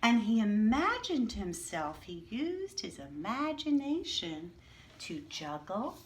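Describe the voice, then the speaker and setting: Slow, lively-voiced teacher reading to children. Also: female voice, medium pitch. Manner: slow, clear, expressive teacher voice, asking the class questions. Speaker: teacher reading aloud to a class. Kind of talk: children's story read-aloud with teaching asides.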